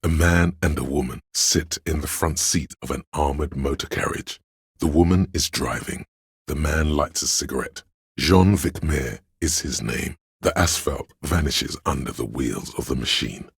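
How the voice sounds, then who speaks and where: A man voicing a policeman's inner instinct in a video game, narrating in the second person with grave, measured, dryly ironic delivery and a gravelly, husky tone. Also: very deep voice, dry recording, studio quality, exaggerated voice.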